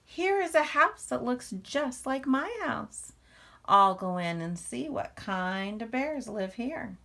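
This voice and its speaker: A woman's voice: on the high side, very animated, slow pace, creaky, fairly bright tone, voice pushed up, full character voice. A woman voicing an eager, imaginative little boy bear cub from a storybook, with wide-eyed, emphatic delivery. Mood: amazed.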